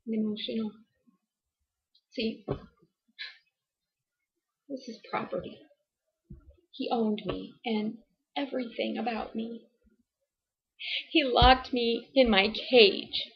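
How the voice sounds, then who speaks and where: Actress as anguished abused wife and mother, dramatic confession monologue: fairly high voice, very slow, halting, strained delivery. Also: muffled recording. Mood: pained, bitter. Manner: halting, deliberate, emotionally strained.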